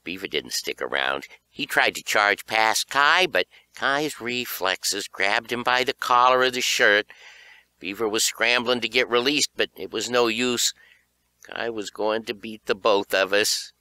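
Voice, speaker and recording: A man narrating a children's horror audiobook in an expressive storytelling style. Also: fairly low pitch, unhurried, clean tone, dry recording, low-quality recording.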